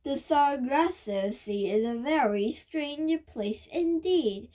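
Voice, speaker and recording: High-pitched young girl reading slowly. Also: expressive, warm tone. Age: child. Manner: careful, halting read-aloud with occasional stumbles. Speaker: reader of a children's nonfiction book. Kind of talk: book reading aloud.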